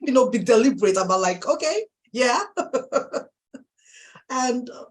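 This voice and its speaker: A woman with a Nigerian accent hosting a live interview, affirming her guest warmly and laughing often. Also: a little high, expressive, measured pace. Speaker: host.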